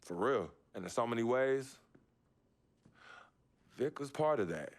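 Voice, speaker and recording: An actor playing an earnest, loyal young pro basketball player in a video game, talking in a reflective, casual storytelling way. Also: male voice, medium pitch, lively intonation, measured pace, natural voice. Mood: heartfelt. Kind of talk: naturalistic video game cutscene acting.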